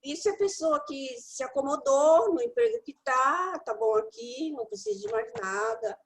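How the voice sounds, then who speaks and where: A woman's voice: high-pitched, lively intonation, normal speed, low-quality recording. A middle-aged woman with a Brazilian Portuguese accent, a guest English teacher telling her stories emphatically in casual conversation. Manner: emphatic, storytelling.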